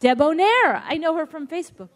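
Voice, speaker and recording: A woman moderating a live panel, chatty and halting, laughing often as she calls up volunteers. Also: high voice, animated. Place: live event on stage.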